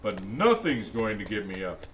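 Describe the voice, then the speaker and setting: Man playing a lively, jolly old Santa storyteller. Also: lowish voice, moderate pace, pitched below the performer's own voice. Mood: playful, insistent. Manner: exclaiming, chatty asides between lines. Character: jolly old Santa Claus reading to kids. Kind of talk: animated storybook read-aloud. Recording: music behind the voice.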